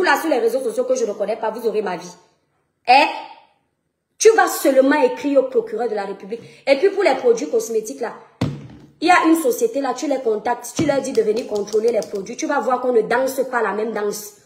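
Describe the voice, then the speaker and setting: A woman's voice: fairly high pitch, echoey room. A woman from Cameroon with a Cameroonian French accent, mocking and confronting her critics in a heated rant to her followers. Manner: mocking, confrontational, rhetorical questions. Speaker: social media personality. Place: France.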